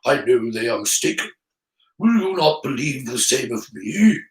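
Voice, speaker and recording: A man voicing a weary old wizard headmaster, grave, solemn and gently wounded, in a storybook reading. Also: fairly high pitch, animated, a little slow. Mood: sad, earnest. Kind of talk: storybook character voice in audiobook reading. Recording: roomy, distant from the mic.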